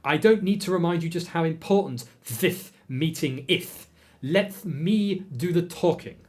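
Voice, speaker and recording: Man reading a story aloud, putting on a pompous wizard lord, pitched up, bossy. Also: measured pace, natural voice. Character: pompous self-important wizard lord, high voice.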